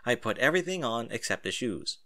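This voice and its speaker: A man narrating a first-person story aloud in an expressive, animated way. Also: medium pitch, a little fast, no echo, studio quality. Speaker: audiobook narrator.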